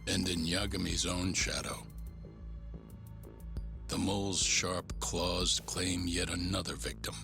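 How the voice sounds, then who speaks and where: An actor narrating a crime saga as a dramatic, grave recap. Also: male voice, sits low, flat delivery, takes it slowly, vocal fry, pauses often, music in the background, exaggerated voice. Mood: grave, ominous.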